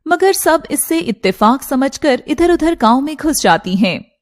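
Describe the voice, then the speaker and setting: High-voiced woman narrating a cartoon story. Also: big pitch swings, measured pace.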